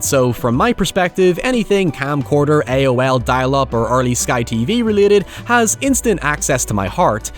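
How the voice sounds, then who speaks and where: Man in his thirties, video essay narrator, a touch high-pitched, very expressive delivery. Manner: articulate, animated.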